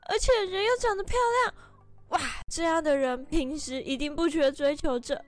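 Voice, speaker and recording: A woman's voice: high voice, animated, normal speed, faint music behind. A woman acting as a gossipy, admiring office coworker in a podcast radio drama, gushing and exclamatory. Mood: admiring, envious.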